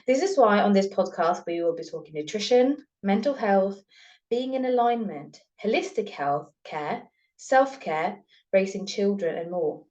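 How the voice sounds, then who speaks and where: A woman's voice: medium pitch, expressive, unhurried, a little mellow, lo-fi sound. Casting: female podcast host, Norwegian accent, at ease with both scripted intros and chat.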